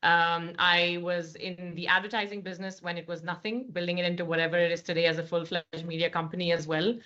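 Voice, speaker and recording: Low bright-voiced Indian woman. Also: level tone, fast talker. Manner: articulate, many fillers and restarts. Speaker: guest, managing director. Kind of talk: podcast interview, conversational. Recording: slightly dull lo-fi sound.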